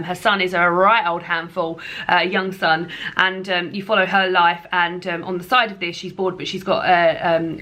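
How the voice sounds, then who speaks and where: A British woman with a bright, forward voice talks casually to her viewers as a book reviewer. She rambles through her thoughts and leans on repeated words for emphasis. The feel is an informal, chatty vlog. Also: medium pitch.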